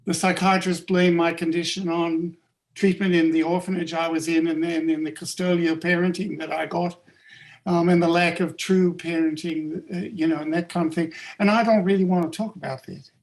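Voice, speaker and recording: Higher-voiced man with a South African accent, workshop leader, halting and hesitant delivery. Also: fairly even intonation, poor audio. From Canada. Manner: halting, hesitant, many fillers and restarts. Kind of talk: personal account in group discussion.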